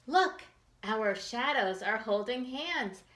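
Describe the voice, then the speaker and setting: A woman's voice: a little high, lively intonation, takes it slowly, a bit bright, a little hiss or hum. A woman hosting a storytime for young children, reading aloud in simple phrases and putting questions and asides to her little listeners. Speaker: children's librarian storytime host.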